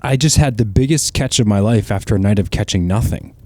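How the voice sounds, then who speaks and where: A man's voice: on the low side, a little fast, mellow, dry recording, lightly acted. A man playing a humbled, guilt-ridden fisherman disciple in an audio drama, speaking earnestly and with self-reproach. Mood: wistful.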